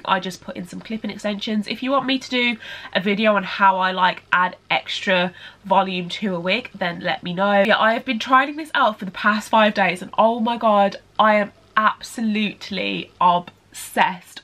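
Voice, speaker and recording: A woman's voice: medium pitch, normal speed, sharp tone. Young adult woman, beauty vlogger, nasal from a cold, chatty and rambling to camera. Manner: chatty, rambling, fillers like "like" and "whatnot". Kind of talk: casual vlog chat to camera.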